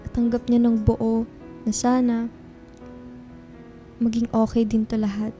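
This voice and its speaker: A woman's voice: fairly high pitch, level tone, unhurried, dark tone, close to an everyday voice. A woman acting a guilt-ridden young woman in love, earnest and emotional, in a Filipino radio drama. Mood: guilty, pleading. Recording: music under the voice. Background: background music.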